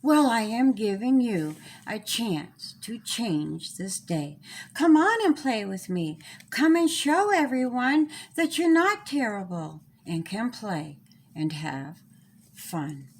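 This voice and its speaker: A woman's voice: a little high, very animated, takes it slowly, voice pushed down, exaggerated voice. A woman voicing a small, earnest storybook child who reasons plainly with others. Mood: kind, forgiving.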